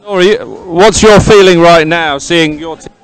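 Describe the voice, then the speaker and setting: A man's voice: a little high, moderate pace, clean tone, dry recording. A man doing an encouraging, congratulatory on-the-spot interview at an outdoor sports event.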